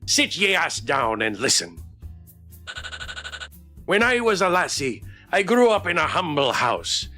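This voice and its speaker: A man's voice: fairly high pitch, big pitch swings, measured pace, pauses often, sharp tone, soft background music, voice pushed up, exaggerated voice. A man voicing a swaggering, teasing, big-hearted drunk pirate woman from a game, in hearty theatrical pirate dialect. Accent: stage pirate.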